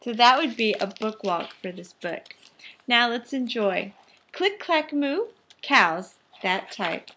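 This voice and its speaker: A woman's voice: fairly high pitch, lively intonation, slow, sharp tone, slight background noise. A woman teacher reading a children's picture book aloud, expressively and with careful, instructive phrasing. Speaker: teacher demonstrating a read-aloud.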